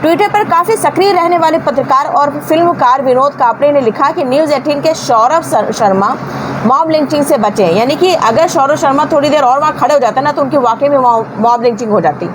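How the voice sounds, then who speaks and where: High-pitched indignant woman over clear background noise. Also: brisk. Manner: indignant, scolding, emphatic with rhetorical questions. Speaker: news commentator. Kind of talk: informal opinionated news commentary.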